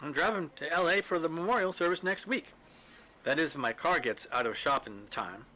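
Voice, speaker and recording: A man's voice: fairly high pitch, lively intonation, brisk, pushed and bright, voice pushed up, poor audio. A man reading a storybook role as an earnest, tactful woman who speaks politely and carefully. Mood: earnest, sympathetic. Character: earnest, tactful woman, gently amazed and hesitant. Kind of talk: storybook character dialogue.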